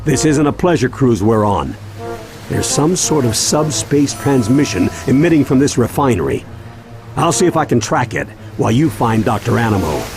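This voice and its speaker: Older american grandpa leader from a cartoon game, serious n protective, music behind him. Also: male voice, mid-range voice, very animated, moderate pace. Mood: firm. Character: steady, protective grandfather leading his grandkids on a mission. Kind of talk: animated video game voice acting. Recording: background music under the voice.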